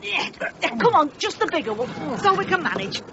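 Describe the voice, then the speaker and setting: An actress playing a bossy, scolding, excitable peasant wife in a radio play. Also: female voice, extremely high pitch, brisk, a crowd in the background. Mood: bossy, urgent. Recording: dull, noisy radio play recording.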